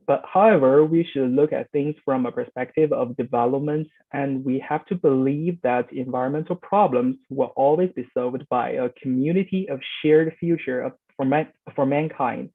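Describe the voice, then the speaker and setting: A young man giving a prepared presentation, halting with frequent fillers and restarts. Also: mid-range voice. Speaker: youth presenter. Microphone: video call. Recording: phone-like video call audio.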